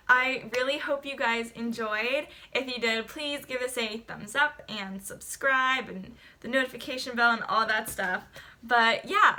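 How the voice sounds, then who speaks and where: Bright-voiced chatty young woman vlogger. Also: fairly high pitch. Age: young adult. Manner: chatty, filler-heavy, rambling. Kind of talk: casual get-to-know-me vlog.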